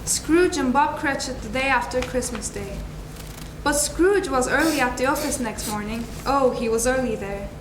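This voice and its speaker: A young woman reads scripted story narration in a dramatic style. Her voice is high, and it carries through an echoey room with noise clearly behind it. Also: moderate pace. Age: young adult. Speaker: student narrator.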